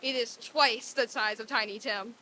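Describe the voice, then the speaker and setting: A woman's voice: fairly high pitch, fairly even intonation, moderate pace, pushed and bright, slight background noise. A woman playing a reformed, overjoyed old miser in a theatrical old-time radio drama reading, with exclamatory delivery. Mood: giddy delight.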